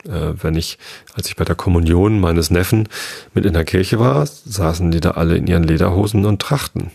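A man from the Hamburg area, talking through his ideas in a reflective monologue, halting often with fillers and restarts. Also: low voice, creaky, a little mellow, breathy edge. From Northern Germany, Hamburg area. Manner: hesitant, many fillers and restarts.

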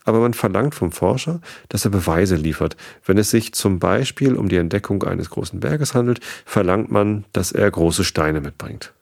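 A man's voice: low voice, animated, moderate pace, gravelly, few pauses, darkish tone, full character voice. A man voicing a self-important old male geographer in a read-aloud storybook, in a raised, lofty tone.

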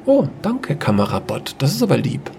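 A man's voice: mid-range voice, wildly swooping pitch, moderate pace, creaky, noisy background, voice pushed up. A man voicing a kindly, pleasantly surprised mother in a sci-fi fairy-tale audio story. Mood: friendly surprise. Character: friendly mother gently addressing a small camera robot. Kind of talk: audio-story character dialogue.

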